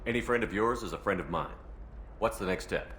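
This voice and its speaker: Man playing a deep-voiced, steady game hero. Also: slight background noise, lightly acted. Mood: supportive. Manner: direct, measured questioning. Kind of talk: video game dialogue acting.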